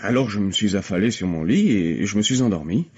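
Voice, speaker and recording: An actor playing an eager, nervous, self-absorbed writer in a vintage radio mystery, stammering and hesitant. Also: male voice, fairly low pitch, expressive, fairly quick, a little mellow, slightly rough. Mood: uneasy, defensive. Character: nervous, eager, self-absorbed struggling young male playwright. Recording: dull, lo-fi old radio recording.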